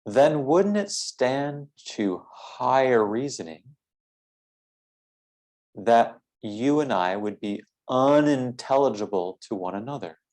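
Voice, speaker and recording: A man leading a philosophical guided meditation in a measured, deliberate way, with formal phrasing and frequent pauses. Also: medium pitch, expressive, darkish tone.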